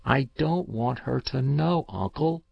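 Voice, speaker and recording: An eager, anxious young boy, as a man puts him on in a storybook reading, raised well above the reader's own pitch. He speaks earnestly and pleadingly, with a lively, rising lilt and a slow, careful pace. The sound is dull and dry, like a lo-fi home recording. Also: gravelly, dry recording, heavily acted.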